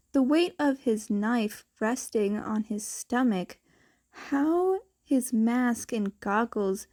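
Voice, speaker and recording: A woman narrates a story from a script in a fairly high voice. She reads at a slow, measured pace, and her tone is soft-edged and mellow. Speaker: fan fiction narrator.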